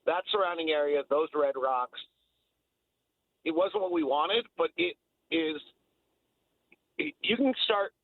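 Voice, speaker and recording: A man's voice: a little high, takes it slowly, pauses often, dry recording. American guy calling into sports radio, effusive rambly chat, tinny dry phone sound. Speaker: sports broadcaster, radio guest. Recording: thin, phone-like line.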